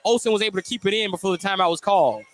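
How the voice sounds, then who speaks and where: A man doing live play-by-play radio commentary of a high school basketball game, descriptive and excited on the plays. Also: very fast, faint music behind. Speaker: play-by-play sports announcer.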